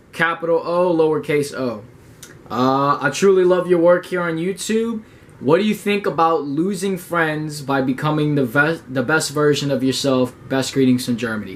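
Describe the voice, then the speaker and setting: A young man giving a loose motivational talk to camera, full of slang, swearing and "right?" checks, slowing down and pressing harder on his key points. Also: mid-range voice, slight background noise. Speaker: motivational YouTuber. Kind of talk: casual motivational monologue.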